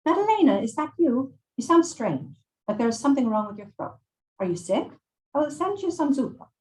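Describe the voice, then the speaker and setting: A woman's voice: high-pitched, sing-song, brisk, a little mellow, a little hiss or hum, pitched above the performer's own voice, low-quality recording. A woman voicing a loving, snarky old Italian shopkeeper who fusses and bosses in an Italian accent, read from a novel. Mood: concerned. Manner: bossy, mothering, exclamatory.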